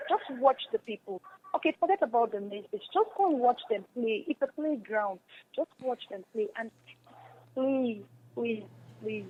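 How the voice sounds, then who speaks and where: A woman giving earnest, emphatic advice as a radio interview guest, encouraging listeners and repeating phrases for stress. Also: on the high side. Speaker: interview guest, stage and radio drama actress. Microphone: remote call. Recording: dull, noisy remote call connection.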